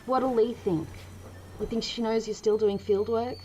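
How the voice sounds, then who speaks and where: A woman's voice: on the high side, fairly even intonation, measured pace, warm tone, slight background noise, natural voice, low-quality recording. A woman playing a tough, no-nonsense outpost boss in an audio drama, blunt and commanding. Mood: irritated.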